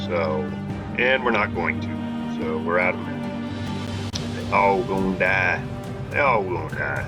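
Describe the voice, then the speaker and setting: A man casually commentating his gameplay as a channel host, thinking aloud with laid-back restarts and fillers. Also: fairly low pitch. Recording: game music playing under the voice. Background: game music.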